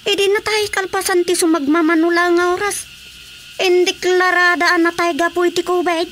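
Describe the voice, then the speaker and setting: A woman playing a talkative, concerned village woman in a Filipino radio drama, with an Ilocano accent and expressive, gossipy delivery. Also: very high-pitched, normal speed, slight background noise.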